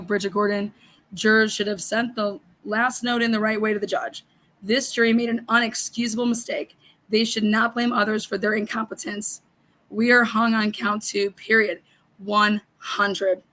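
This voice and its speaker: A middle-aged woman hosting a casual livestream, hoarse and raspy, reading viewer comments and ranting bluntly with lots of swearing. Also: mid-range voice, flat delivery, moderate pace, a bit bright, low-quality recording. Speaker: livestream host.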